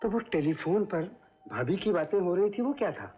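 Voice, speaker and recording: A man's voice: high voice, big pitch swings, fairly quick. A man playing a frank, reassuring doctor in an old film drama. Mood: probing. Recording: dull old film soundtrack with music.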